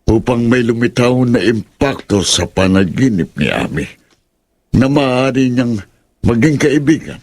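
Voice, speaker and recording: An actor playing a remorseful older village sorcerer in a horror audio drama, with a husky voice. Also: male voice, medium pitch, animated, unhurried, a little mellow. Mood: remorseful, solemn.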